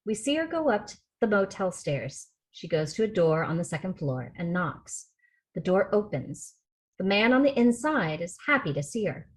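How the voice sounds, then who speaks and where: A woman's voice: medium pitch, rough recording. A woman reading stage directions as the narrator at a film script table read. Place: remote video call rehearsal.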